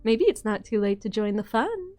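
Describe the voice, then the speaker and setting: Lady doing a cool scheming boss type in a game dub, mellow voice, music behind. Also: on the high side, big pitch swings, moderate pace. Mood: cool, musing. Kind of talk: amateur fan-dub game acting.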